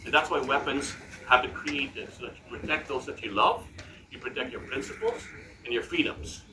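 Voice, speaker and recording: A man raised in the Philippines with a Filipino accent, telling stories to a live audience at a fan Q&A, animated and laughing as he acts out quoted lines. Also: medium pitch, normal speed. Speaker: TV show judge at fan Q&A. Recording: echoey, distant, with background noise.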